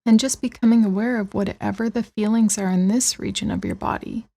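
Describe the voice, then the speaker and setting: A woman leading a guided meditation in a soothing, gentle manner, leaving long pauses between phrases. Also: medium pitch, fairly even intonation, creaky. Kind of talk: guided body-scan meditation.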